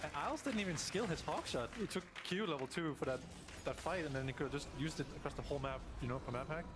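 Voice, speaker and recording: A man's voice: a little high, fast talker, background noise. A man giving analytical color commentary on an esports broadcast, explaining the game. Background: music.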